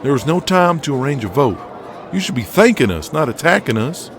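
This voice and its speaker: A man's voice: medium pitch, big pitch swings, a little fast, a faint crowd, a little hiss or hum, voice pushed up. A man playing an earnest wrestling promoter in an audio drama, insistently explaining and pleading his case.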